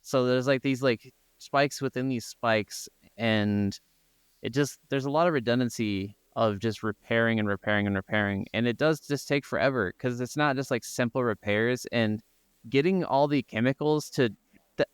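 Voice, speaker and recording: A man's voice: medium pitch, moderate pace, clean tone, no echo. An American man co-hosting a podcast, chatting casually about games in an informal, rambling way. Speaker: podcast co-host. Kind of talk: casual gaming chat.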